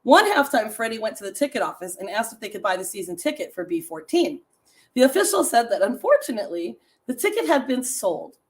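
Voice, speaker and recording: Raspy-voiced woman hosting a chatty live stream. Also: a little high, big pitch swings, brisk. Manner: chatty, laughs often, reads facts and stories to viewers. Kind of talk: casual chatty live stream, reading trivia aloud.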